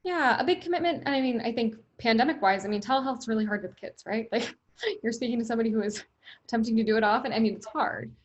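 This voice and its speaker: A woman with a loud voice, speaking as a company guest in an interview, upbeat, laughing often and leaning on "right?" and "you know.". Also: a little high, quick pace, lo-fi sound. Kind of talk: conversational interview.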